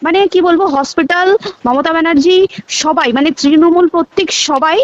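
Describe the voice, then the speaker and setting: A young Bengali woman from the sound of her West Bengal accent, speaking very quickly in a high voice. She is insistent and conversational, repeating herself for emphasis, on a dull, lo-fi phone recording. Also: no room sound. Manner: emphatic, insistent, repeats words and checks listener follows. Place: phone call.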